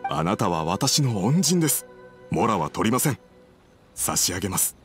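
A man's voice: lowish voice, very animated, normal speed, soft background music. A man playing a polite, humble young merchant in an anime game, speaking formally and earnestly. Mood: humble, grateful.

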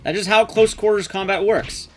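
A man's voice: high-pitched, fairly even intonation, normal speed, soft background music. A young adult man giving casual, joking, sweary live commentary as he plays a game. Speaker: game streamer, speedrunner. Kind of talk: casual live stream commentary while playing.

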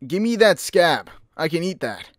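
Guy doing a scrappy dumb teen boy, blunt, really swingy pitch, comic dub. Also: moderate pace, dry recording, clean studio sound. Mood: blunt, eager. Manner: blunt, excitable, crude. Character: scrappy, simple-minded, hungry teenage boy.

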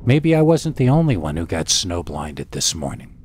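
Male horror narrator and host, low register, theatrical and deliberate storytelling. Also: sing-song, a little mellow, a little hiss or hum. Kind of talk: scripted scary story narration.

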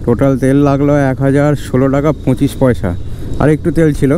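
Chatty motorbike-riding man, loud road noise. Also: mid-range voice, fairly even intonation, fast talker. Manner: chatty, scolds careless road users aloud. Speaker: motovlogger. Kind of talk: casual ride commentary. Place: outdoors on a moving motorbike. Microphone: helmet-mounted camera mic. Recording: wind and traffic noise on a helmet mic.

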